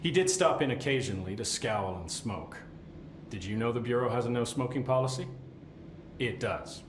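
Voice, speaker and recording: An actor playing a fussy, deadpan middle-aged keeper of strange items, with dry rambling talk and awkward fillers. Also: male voice, mid-range voice, moderate pace, natural voice. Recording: echoey game cutscene with faint hiss.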